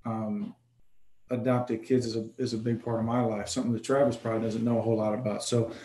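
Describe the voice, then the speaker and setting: Athletic director guy from detroit, 40s, talking flat and mellow on a zoom call in an echoey office. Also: lowish voice, fast. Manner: friendly, repeats words as he starts sentences. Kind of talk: personal story on a video call. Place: office, indoors. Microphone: webcam on a video call.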